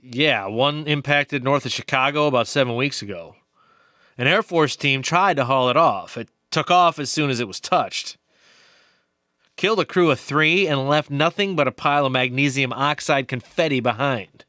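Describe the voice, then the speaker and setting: A man's voice: medium pitch, normal speed, sharp tone, no room sound, clean studio sound, natural voice. A man playing an insistent, knowing research biologist in an audio drama, explaining things emphatically.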